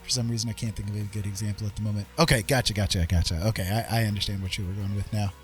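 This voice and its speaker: A man's voice: on the low side, fairly even intonation, very fast, faint music behind. A middle-aged man chatting casually as a livestream host, rambling and emphatic, often repeating his phrases.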